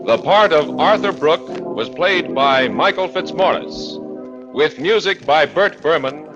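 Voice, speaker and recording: A man's voice: on the high side, expressive, unhurried. A man reading scripted credits as a 1940s radio announcer. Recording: dull vintage radio with music behind.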